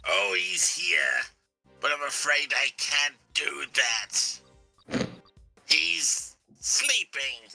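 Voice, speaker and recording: A man acts out a smug video game kidnapper with a heavily creaky, sharp-edged voice. The delivery is slow and drawling, savouring every mocking tease. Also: fairly high pitch, faint music behind, heavily acted. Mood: smug teasing. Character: taunting, smug kidnapper toying with pursuers on phone. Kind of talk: live video game voice-over.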